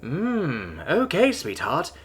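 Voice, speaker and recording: A seductive female android nurse, played by a man in an audio story. Her purring voice is breathy and husky, and it rises and dips in wide, lilting sweeps. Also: on the high side, huge pitch swings, takes it slowly, fairly bright tone, soft background music, voice pushed up, full character voice. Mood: sultry.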